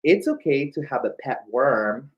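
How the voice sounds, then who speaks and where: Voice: mid-range voice, big pitch swings, a little slow. A drag queen co-hosting a children's story time, reading aloud with playful, campy banter over livestream audio. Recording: slightly dull livestream audio.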